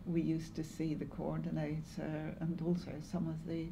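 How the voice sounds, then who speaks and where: An older English woman in her seventies or beyond, reminiscing in an interview in a measured, halting way with pauses. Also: low-pitched, monotone, gravelly, noisy background. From Lancashire, northwest England. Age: 70s or older. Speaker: interviewee, longtime community volunteer. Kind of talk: oral history interview, reminiscing.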